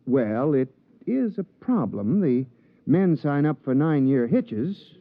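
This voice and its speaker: An actor playing a meek, polite, lonely little geologist in a 1950s radio drama, mild and earnest. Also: male voice, fairly high pitch, very animated, takes it slowly, no room sound, exaggerated voice. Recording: muffled, dry 1950s radio recording.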